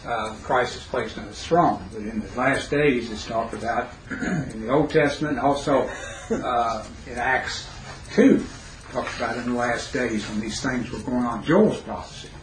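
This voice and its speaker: A man with a raspy, hoarse voice teaching a Bible class, pausing to quiz his students. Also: medium pitch. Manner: halting, questioning teacher who pauses to quiz the class. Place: classroom, indoors. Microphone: recorder set near the speaker. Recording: roomy classroom recording, faint noise.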